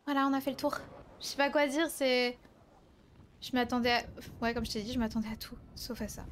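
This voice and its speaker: A woman's voice: on the high side, fairly even intonation, fairly quick, slight background noise, close to an everyday voice. A woman playing a tender, eager twenty-seven-year-old daughter in improvised French game roleplay, speaking hesitantly. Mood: overwhelmed, grateful. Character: young actress daughter, 27, sincere, tender, eager.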